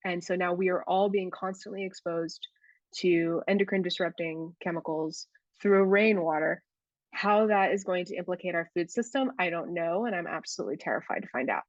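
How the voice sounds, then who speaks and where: A woman's voice: on the low side, normal speed, no echo, dull audio. A woman, a guest herbalist, talking in a casual podcast conversation, thoughtful and often restarting as she searches for words.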